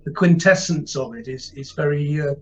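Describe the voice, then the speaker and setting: A muffled, slightly lo-fi recording of an older man with a fairly high voice, a little noise behind him. He reminisces as a podcast guest, wandering through anecdotes and rephrasing as he goes. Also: normal speed. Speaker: interview guest with a career in television. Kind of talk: reflective anecdotal conversation. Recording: muffled lo-fi line with faint noise.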